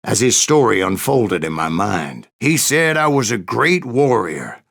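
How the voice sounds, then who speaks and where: An actor playing a grizzled old gunslinger, telling boastful tales in a gravelly American Western drawl with a folksy, sardonic manner. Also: male voice, on the low side, big pitch swings, measured pace, fairly bright tone, exaggerated voice. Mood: boastful, wry. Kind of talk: dramatic first-person storytelling.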